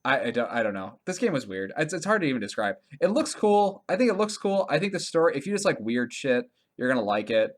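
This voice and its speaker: A man's voice: mid-range voice, sing-song, fast talker, few pauses. A man co-hosting a podcast, chatting casually about video games in a rambling way and often laughing as he talks. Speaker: podcast co-host.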